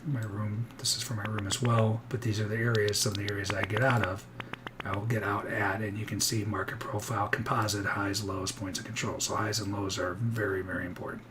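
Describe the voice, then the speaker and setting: A slightly hoarse man casually thinking aloud as a day trader on a live stream, with plenty of restarts. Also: lowish voice, level tone, fairly quick, very noisy. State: a little hoarse. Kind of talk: casual live trading commentary.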